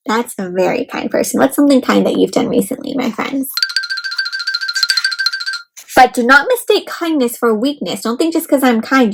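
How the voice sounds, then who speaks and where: A woman hosting an interactive read-aloud for young children, expressive, asking them questions and explaining things simply. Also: on the high side, low-quality recording.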